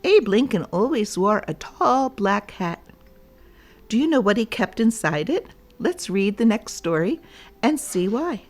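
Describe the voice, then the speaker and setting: Slow-paced woman reading a children's story. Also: mid-range voice, sing-song. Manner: deliberate, addressing young listeners. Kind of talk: read-aloud storybook narration for children.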